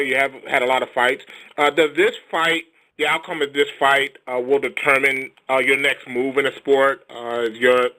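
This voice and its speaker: A man's voice: medium pitch, bright, forceful tone, low-quality recording. A man, a reporter asking long, meandering questions on a press conference call. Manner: rambling questions full of "uh" and "um". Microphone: telephone conference line.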